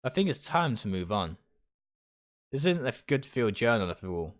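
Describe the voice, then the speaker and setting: A man's voice: medium pitch, sing-song, moderate pace. A man reading visual novel text aloud in a dramatic storytelling style, sometimes stumbling and rereading words. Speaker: streamer reading a game aloud.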